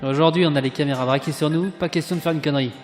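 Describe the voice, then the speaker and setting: A man's voice: on the high side, fairly even intonation, rapid-fire, lightly acted. Man acting a hapless technician in heavy reverb. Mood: casual, puzzled. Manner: casual, hesitant with superiors. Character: hapless, cheeky low-level male lab technician. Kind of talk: audio drama acting. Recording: long hall reverb, music underneath.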